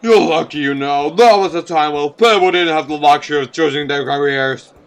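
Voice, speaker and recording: A man's voice: on the high side, moderate pace, lightly acted. Man doing a jaded, scraggly drifter. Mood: grumbling. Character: scraggly, grumbling, amused male drifter who writes books.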